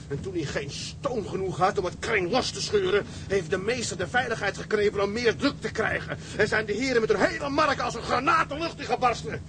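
A man playing a bitter, stubborn, seasoned tugboat seaman who argues with forceful indignation, in radio drama style. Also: high voice, noisy background.

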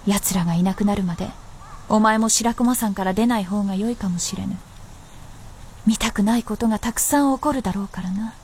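An actress playing a proud, world-weary princess of feudal Japan in a radio drama, speaking in a lordly, knowing, archaic way. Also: female voice, medium pitch, a little mellow, a little hiss or hum, lightly acted. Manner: lordly, archaic masculine phrasing, measured and knowing. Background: music.

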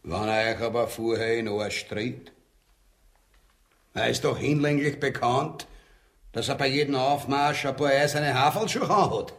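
Slow, sly Austrian plotter. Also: male voice, mid-range voice, a bit bright. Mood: sly, rousing. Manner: deliberate, folksy, relishing. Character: coarse, sly, self-satisfied male plotter. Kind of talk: farcical radio play acting.

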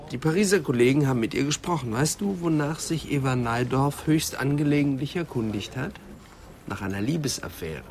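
A man's voice: medium pitch, a little hiss or hum, close to an everyday voice. An actor playing a keen, speculating male police assistant who talks in rhetorical questions in a radio crime drama. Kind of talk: German radio drama dialogue.

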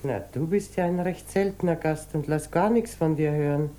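A woman's voice: low-pitched, moderate pace, dark tone, lightly acted. Actress as worn, plaintive Austrian mother. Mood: mildly reproachful. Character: worn, prematurely aged mother of three, plaintive cousin. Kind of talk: German radio play acting.

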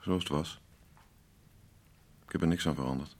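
Gruff evasive bloke in a radio play, really deep n husky, talks plain and halting. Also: male voice. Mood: uneasy, evasive. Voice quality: gravelly, husky. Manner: halting, plain-spoken, terse. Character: gruff, evasive working-class man caring for fragile woman. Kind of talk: naturalistic Dutch radio drama acting.

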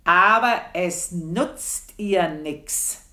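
An older actress playing an old, scheming maidservant in a radio drama, brooding and intense, dropping into whispers. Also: female voice, on the low side, drawn-out words, sharp tone, full character voice. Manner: brooding, intense, shifts between whispers and outbursts. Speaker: old maid narrating her life. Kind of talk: 1980s radio drama acting.

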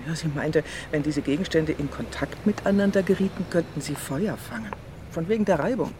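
An actor playing an easygoing police officer with a hesitant, understated delivery in a German radio crime drama. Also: male voice, fairly high pitch, expressive, fairly quick, noisy background, lightly acted. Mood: reminiscing. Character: easygoing police officer telling an odd family memory.

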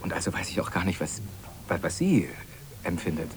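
A shy young lab assistant in a radio play. His voice sits fairly low but moves widely in pitch. He is earnest and self-doubting, with a halting, stammering delivery. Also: sing-song, noisy background. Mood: bashful, halting. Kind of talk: radio drama acting in German.

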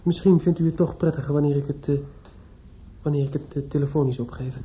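An actor in a radio drama playing a despairing, obsessive judge's son who is outwardly polite and inwardly bitter. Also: male voice, a little high, steady tone, lightly acted. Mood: hesitant, tense. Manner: halting and hesitant with others, brooding and repetitive when alone. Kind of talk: radio drama acting with interior monologue. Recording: dull lo-fi old radio play recording.

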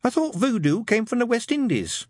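A meek young private, voiced by a man reading a novel, pipes up in a thin, high voice. He sounds hesitant and earnest, questioning rather than asserting. The sound is close and dry. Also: sing-song, moderate pace, dry recording, heavily acted. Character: timid, earnest young male army private. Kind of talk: audiobook character voice.

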